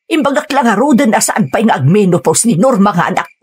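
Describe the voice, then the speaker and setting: An actress playing a sharp-tongued, gossipy village wife in an Ilocano radio drama, with an expressive, nagging delivery and an Ilocano accent. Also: female voice, medium pitch, quick pace, husky. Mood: scolding.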